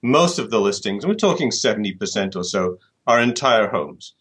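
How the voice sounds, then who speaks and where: A man's voice: fairly low pitch, very animated, normal speed, a bit bright, breathy edge. A middle-aged man from the UK, answering interview questions thoughtfully and often repeating words as he restarts. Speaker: author guest.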